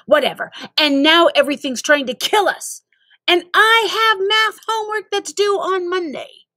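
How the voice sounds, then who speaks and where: A woman's voice: extremely high pitch, animated, moderate pace, bright, forceful tone, dry recording, clean studio sound, voice pushed up, heavily acted. A woman voicing a panicky, exasperated young kid in a storybook, indignant and complaining. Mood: outraged.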